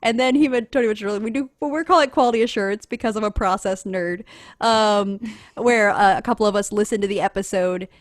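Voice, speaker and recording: A woman's voice: medium pitch, fast talker, no echo, studio quality. A woman podcaster explaining her process in a casual, chatty panel style with a wry, self-deprecating manner.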